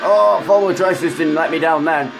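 A man with a croaky voice, chatting excitedly through a racing game as a gaming YouTuber, rambling with fillers. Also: fairly high pitch, moderate pace, music in the background, low-quality recording. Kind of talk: casual racing game commentary.

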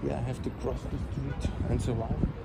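A man's voice: mid-range voice, little pitch movement, moderate pace. Middle-aged man vlogging outdoors over traffic. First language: German. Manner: chatty, enthusiastic, loosely phrased with restarts. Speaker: vlogger giving a walking tour. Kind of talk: casual walk-and-talk narration. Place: outdoors on city streets. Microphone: wired earphone mic, phone held at arm's length. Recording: outdoor street noise. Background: city traffic.

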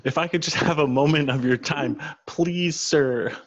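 A man's voice: on the high side, unhurried. Man reading a persistent reporter, slightly muffled. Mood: pleading. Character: persistent, polite magazine reporter, a woman. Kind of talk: comedy table read. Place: indoors at home on a video call. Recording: slightly dull video call audio.

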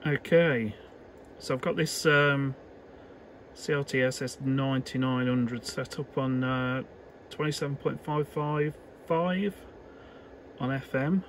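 An unhurried man with a mid-pitched voice talks through a hobby demonstration. His delivery is casual and halting, pieced together as he goes. He is recorded indoors with clearly audible background noise. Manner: hesitant, unscripted, halting phrasing. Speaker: electronics hobbyist presenter. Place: indoor electronics workbench.

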